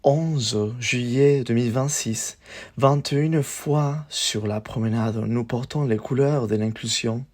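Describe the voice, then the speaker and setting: A man reciting spoken-word poetry in a measured, declamatory way, in short phrases set apart by pauses. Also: mid-range voice, a little mellow.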